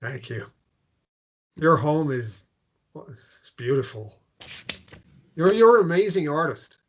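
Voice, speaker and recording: Man playing small-town American traveller: hesitant, stammering, apologetic, quietly melancholy drama role. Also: fairly high pitch, very animated, slow pace, muffled recording, slight background noise. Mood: wistful. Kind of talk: drama table read.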